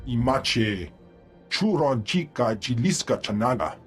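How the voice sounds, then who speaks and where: An actor voicing a stern, husky alien master in a video game, talking in an invented alien tongue. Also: male voice, medium pitch, big pitch swings, unhurried, soft background music. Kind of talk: video game creature dialogue.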